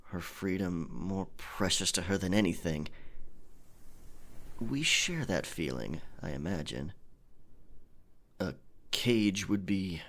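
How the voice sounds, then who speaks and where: A man playing a roguish, gallant pirate captain in an ASMR audio roleplay, with a husky, breathy voice and dramatic, unhurried delivery. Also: low voice, expressive, exaggerated voice. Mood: knowing, tender. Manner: slow, dramatic, hushed at times.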